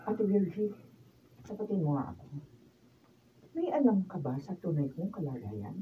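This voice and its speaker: Filipino radio drama mother: wary, fretful, very animated pitch, faint background noise. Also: moderate pace, phone-quality sound. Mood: suspicious. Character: suspicious, anxious mother recovering from illness. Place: radio drama recording session. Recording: roomy far mic, faint noise.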